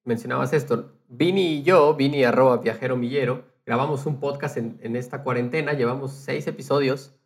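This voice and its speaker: A man's voice: mid-range voice, fairly quick, hardly any pauses. A man podcaster chatting casually and explaining things conversationally, checking in with his listener as he goes.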